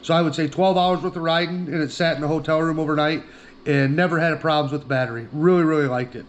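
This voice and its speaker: A man's voice: fairly high pitch, fairly even intonation, quick pace, lo-fi sound, slight background noise. A middle-aged man giving a casual, plainspoken product demonstration as an outdoor gear reviewer, often repeating himself for emphasis.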